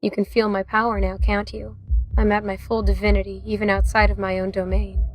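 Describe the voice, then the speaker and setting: A woman's voice: medium pitch, little pitch movement, soft background music, close to an everyday voice. A woman playing a giant death goddess assassin in an audio drama, with an edged, coldly confident, taunting delivery. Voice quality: edged, sharp. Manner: cool, taunting, unhurried menace.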